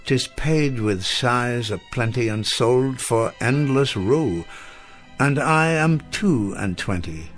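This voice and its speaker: An actor playing a gentle, bookish old literature professor in a radio drama, courteous and wordy in an old-fashioned formal way. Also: male voice, mid-range voice, lively intonation, slow pace, soft background music. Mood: wistful recitation.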